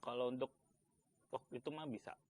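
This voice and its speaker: A man's voice: medium pitch, steady tone, a little fast. A man from Indonesia with a Jakarta accent, talking casually as an interview guest in loose, informal phrases. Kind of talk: casual chat.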